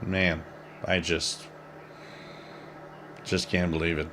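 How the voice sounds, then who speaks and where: A man's voice: sits low, flat delivery, takes it slowly, background noise. A man in his fifties hosting a shortwave radio livestream, chatting casually in a rambling, jokey way as he reads out viewer comments. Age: 50s. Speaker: host of a live shortwave radio stream.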